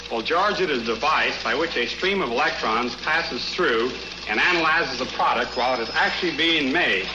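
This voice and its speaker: A man's voice: medium pitch, animated, normal speed. A man giving a stiff, scripted explanation as a company president in a vintage radio advertisement. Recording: noisy, dull, echoey old radio recording.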